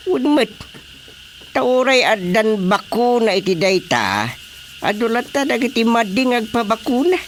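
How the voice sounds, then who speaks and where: A man acting an excitable, chatty village companion in a radio comedy drama, teasing and exclamatory, with an Ilocano Filipino accent. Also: very high voice, measured pace, a little hiss or hum, full character voice.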